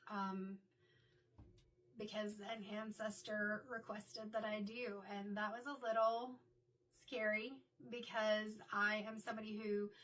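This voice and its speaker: A middle-aged woman, a card reader and medium, talking in a chatty, reflective way, her voice a little raspy from illness. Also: medium pitch, bright, forceful tone, distant mic, slight background noise, poor audio. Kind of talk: casual spoken tarot reading intro.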